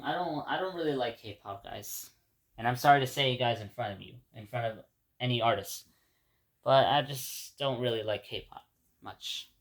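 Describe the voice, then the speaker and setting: A man from Ecuador whose first language is Spanish talks through his day in a loose, rambling video diary. He repeats words and restarts his sentences as he goes. His voice sits at an ordinary middle pitch for a man. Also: a bit bright. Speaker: vlogger. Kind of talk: casual spoken reflection to viewers.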